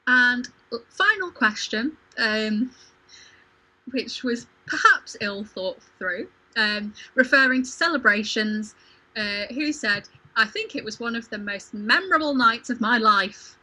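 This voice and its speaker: Casting: female quiz host, higher-pitched and bright, informal and chatty delivery. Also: animated, unhurried, low-quality recording. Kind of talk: casual livestream quiz chat. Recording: home livestream video call.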